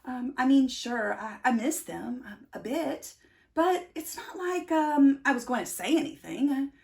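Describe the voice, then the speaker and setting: An awkward, self-doubting storybook bean, played by a woman, tells its tale in a high voice. It hesitates and stammers, shyly running itself down. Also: expressive, measured pace, creaky, darkish tone, heavily acted. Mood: glum, hesitant.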